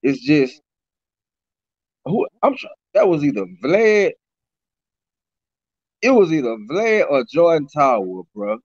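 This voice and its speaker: Raspy man on a video call. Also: a little high, sing-song, slow pace, pauses often, a little mellow, no echo. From Baton Rouge, Louisiana. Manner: loose, repeats "you know what I'm saying". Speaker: rapper, interview guest. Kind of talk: casual interview chat. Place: small room indoors. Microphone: webcam on a video call. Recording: dull lo-fi video call audio.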